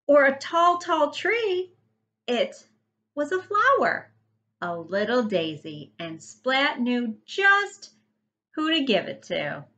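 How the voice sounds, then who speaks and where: Female primary teacher for a children's read-aloud: unhurried, very expressive, emphatic, slightly lo-fi sound. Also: a little high. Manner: animated, emphatic storytelling. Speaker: first grade teacher reading to pupils.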